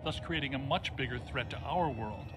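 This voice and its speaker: An actor playing a bookish, unflappable scientist in a video game, speaking precisely and pedantically with a deadpan delivery. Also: male voice, medium pitch, moderate pace, natural voice. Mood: pedantic, explaining. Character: bookish, unflappable male scientist ghost hunter. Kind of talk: animated video game acting. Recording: loud music behind the voice.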